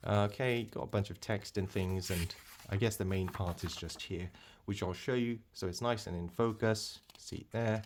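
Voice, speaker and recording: A man with a fairly low-pitched voice talks through an unboxing in a casual way. He thinks aloud as he goes, wandering between remarks and often repeating himself like an informal product reviewer. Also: fairly even intonation, normal speed, slight background noise.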